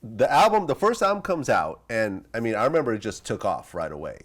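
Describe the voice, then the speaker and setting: A man's voice: medium pitch, sing-song, brisk. A man with an American accent asking questions casually as the host of an interview.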